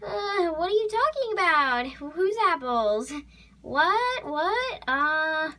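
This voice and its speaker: A woman's voice: high-pitched, sing-song, normal speed, a bit bright, full character voice. A woman voicing a timid, sneaky male horse who stammers and repeats himself to placate others in an animal role-play drama. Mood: flustered, evasive.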